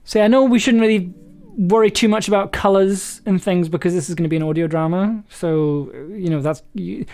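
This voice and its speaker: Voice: medium pitch, fairly quick, few pauses, a little mellow. A streamer who thinks aloud while drafting a journaling game entry, often hesitating, trailing off and rereading drafted lines. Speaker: streamer and writer. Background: faint music and keyboard typing.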